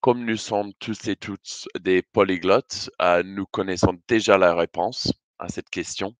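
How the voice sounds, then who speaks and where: A man's voice: deep voice, fairly even intonation, a little slow, dry recording. A British man speaking in a second language with a British accent, giving a prepared conference talk in a hesitant, careful way. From Britain. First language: English.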